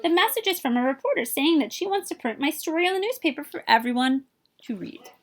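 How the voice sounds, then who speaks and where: A woman reading a children's picture book aloud in an animated way, speaking directly to young listeners. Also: high-pitched, fast. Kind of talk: expressive children's read-aloud with asides to listeners.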